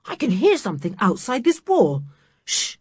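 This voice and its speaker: A woman reading aloud, voicing a serious older boy in a storybook who speaks in an urgent hush. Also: on the high side, sing-song, normal speed, husky, full character voice.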